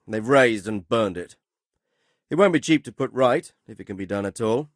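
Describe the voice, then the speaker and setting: An actor playing a stern, dry-witted middle-aged medieval lord in a video game, speaking with formal, measured authority and a wry, sardonic edge. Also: male voice, on the low side, expressive, dry recording. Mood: grave. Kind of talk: video game dialogue acting.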